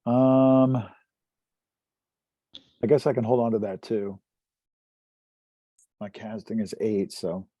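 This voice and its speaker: A man's voice: on the low side, takes it slowly, pauses often, warm tone, no room sound. A man playing a tabletop role-playing game, talking casually.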